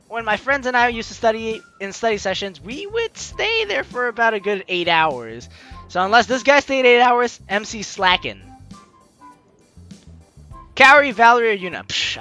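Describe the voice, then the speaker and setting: A man's voice: extremely high pitch, pushed and bright. A young man hosting a game playthrough, reading dialogue aloud and commenting in a playful, chatty, dramatic way. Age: young adult. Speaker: let's player hosting a game series.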